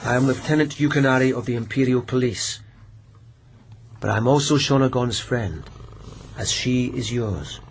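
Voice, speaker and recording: Man playing gentle lovelorn police officer, radio play, wry and measured, middling pitch, bit of background noise. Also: close to an everyday voice. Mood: calm, coaxing. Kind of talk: radio drama acting.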